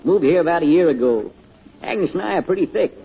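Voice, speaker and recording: A man's voice: on the high side, lively intonation, brisk, gravelly, husky, heavily acted. An actor playing a spirited, affectionate American small-town grandfather and druggist in an old-time radio drama, with homespun turns of phrase. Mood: fond. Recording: tinny vintage radio recording with music.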